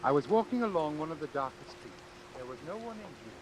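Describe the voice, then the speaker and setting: An actor playing a cold, calculating doctor in a vintage suspense radio drama, speaking in a formal, precise, measured way. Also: male voice, fairly high pitch, lively intonation, lightly acted. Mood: cold, reflective. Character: cold, calculating male doctor obsessed with his experiment. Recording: hissy old radio recording.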